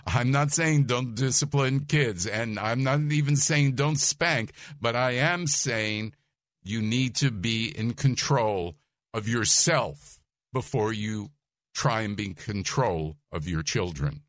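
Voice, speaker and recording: Male Bible teacher, soft voice, unhurried delivery, dry recording. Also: mid-range voice, dry recording. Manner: numbered points, chuckles, asks listeners questions.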